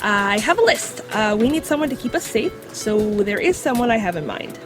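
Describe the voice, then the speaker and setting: Woman voicing a bright-eyed talking dog, high and lively. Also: normal speed, over music, close to an everyday voice. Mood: matter-of-fact. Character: clever talking dog companion, confident guide on mythology. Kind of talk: acted audio drama dialogue.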